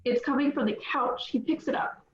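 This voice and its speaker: A woman reads the stage directions in a plain, matter-of-fact way. Her voice sits fairly high. The audio sounds roomy and muffled. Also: steady tone, a little fast, a little hiss or hum. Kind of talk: reading stage directions at a table read.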